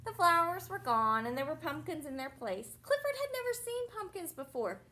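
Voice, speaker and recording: Animated, higher-pitched woman reading to children. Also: normal speed. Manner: simple, explanatory phrasing for small children. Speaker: teacher reading aloud to young children. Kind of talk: animated picture-book read-aloud with asides and questions to children.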